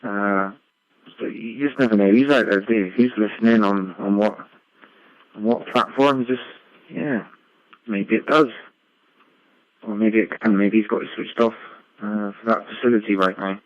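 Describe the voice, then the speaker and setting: Male radio ham, low voice, chatty and rambling, muffled dry digital-radio sound. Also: fairly even intonation, moderate pace, pauses often, dry recording. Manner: rambling, frequent tag questions. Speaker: amateur radio operator. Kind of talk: casual ham radio chat. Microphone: radio link played through a computer.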